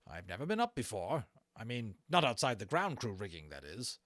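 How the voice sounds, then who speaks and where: A man's voice: on the low side, big pitch swings, moderate pace, fairly bright tone, no echo, clean studio sound. A man playing a humble, willing ground crewman with a deferential manner in a storybook reading. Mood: willing, unsure.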